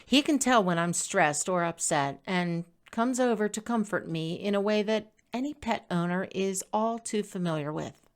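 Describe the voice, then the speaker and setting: Woman reading her blog out loud, slightly low voice, chatty expressive storytelling. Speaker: blogger reading own post. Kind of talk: scripted personal narration, audio blog reading.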